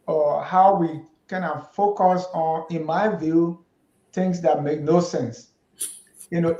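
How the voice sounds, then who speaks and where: A man hosts a casual panel talk, musing aloud with a fairly high voice and lots of restarts. The sound is roomy and a little dull, like a lo-fi recording. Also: dark tone. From Liberia. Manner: reflective, hesitant, many restarts. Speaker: host.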